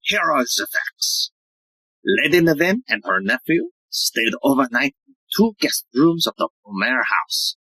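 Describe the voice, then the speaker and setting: A self-important detective inspector acted by a woman holds forth in a low voice with huge swings of pitch. The delivery is theatrical and lecturing, full of dramatic pauses, in a close, dry audio play recording. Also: very animated, bright, forceful tone, husky, dry recording, full character voice, poor audio.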